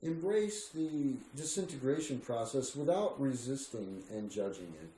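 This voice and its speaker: Slow-reading man in an echoey room. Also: medium pitch, mellow. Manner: measured, solemn delivery with deliberate pauses. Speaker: reader. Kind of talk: slow spiritual reading of a written text. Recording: roomy echo with faint background noise.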